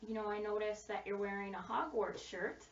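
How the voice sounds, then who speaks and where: A woman acting as a school social worker in a counseling role-play, speaking gently and reassuringly with frequent fillers and affirmations. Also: mid-range voice, a little fast, creaky, slight background noise. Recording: distant, roomy, dull recording.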